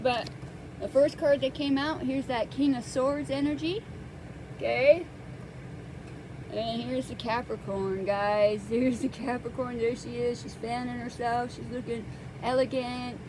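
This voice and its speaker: Soft-spoken woman giving a tarot reading. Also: a little high, noisy background. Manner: soft-spoken, hesitant with many fillers. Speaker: tarot card reader. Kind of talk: casual tarot reading to camera. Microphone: close microphone.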